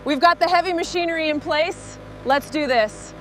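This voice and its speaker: A woman's voice: high-pitched, moderate pace, slight background noise. A woman hosting a vehicle restoration video, speaking short scripted commentary on the work as it goes.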